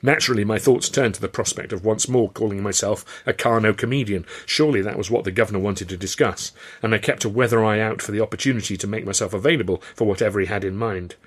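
A man's voice: lowish voice, fairly even intonation, fast talker, few pauses. A man with an English accent narrating a first-person story from a script in a wry, literary style. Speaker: author and audiobook narrator.

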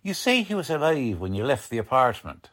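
An actor playing a gruff, bossy, uneducated police lieutenant with blustery, ungrammatical speech in a 1940s-style radio drama. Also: male voice, mid-range voice, big pitch swings, fairly quick, fairly bright tone. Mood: pressing, sardonic.

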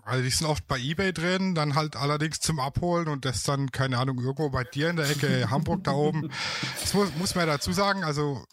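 A man's voice: on the high side, fairly quick, fairly bright tone. A man from Baden-Württemberg with a light Swabian accent, a photographer chatting casually and rambling a little. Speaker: photographer guest. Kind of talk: casual podcast chat.

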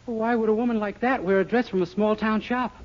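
An actor playing a naive, wisecracking young shop employee in a 1940s radio comedy, delivering gags with boyish innocence. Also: male voice, high voice, fairly even intonation, quick pace, close to an everyday voice. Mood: doubtful. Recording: noisy, dull, crackly 1940s radio recording.

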